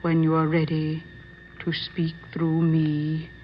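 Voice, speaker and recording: An actress playing an imperious, solemn middle-aged séance medium in a radio drama, with formal, commanding delivery. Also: female voice, sits low, completely flat delivery, takes it slowly. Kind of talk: old-time radio drama acting. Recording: dull lo-fi radio recording, faint hiss.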